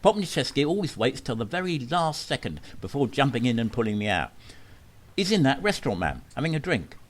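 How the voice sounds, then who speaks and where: A man's voice: medium pitch, sing-song, brisk. An actor playing a pushy man who sells staged drownings, with melodramatic salesman patter, in an amateur radio play. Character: pushy male con man who stages his own drownings for money.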